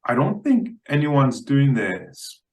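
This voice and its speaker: A man speaking as a guest analyst in an unscripted interview, halting and often repeating the starts of words. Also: mid-range voice, sing-song. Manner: halting, stammers on word starts.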